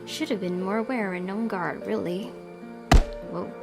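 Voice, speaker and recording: A woman's voice: medium pitch, a little slow, creaky. A young woman streamer reading a horror visual novel aloud, breaking off for chatty asides. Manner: reads narration with chatty asides. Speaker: gaming streamer. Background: loud game music.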